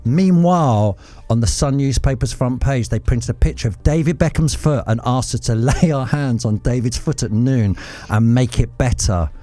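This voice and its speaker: Mellow-voiced male quiz show host. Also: medium pitch, moderate pace, few pauses, no room sound. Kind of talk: lighthearted football quiz hosting with banter.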